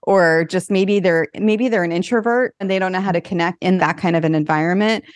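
A woman's voice: on the low side, no room sound, clean studio sound. A woman hosting a podcast, chatty and enthusiastic as she talks with her guest. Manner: enthusiastic, chatty, quick laughs. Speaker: podcast host. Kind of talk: podcast interview.

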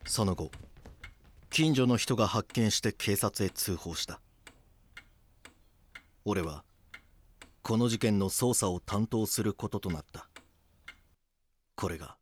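A low, grave detective's voice over faint noise. He narrates the audio drama deliberately, with brooding, dramatic phrasing. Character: grave male police detective narrating his case.

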